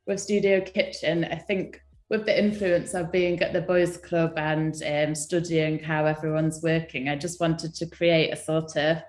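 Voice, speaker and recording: A young woman from England, a guest designer chatting casually on a live stream, hesitant with many ums and soft laughs. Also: sits low, level tone, unhurried, few pauses, reverberant.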